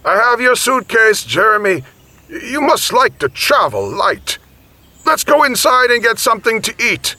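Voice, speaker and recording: A man voicing a jovial, teasing elderly grandfather from the country in an audiobook story, with a raspy, folksy delivery. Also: sits high, moderate pace, no echo, voice pushed up, full character voice. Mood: cheerful. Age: older. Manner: animated, folksy, encouraging.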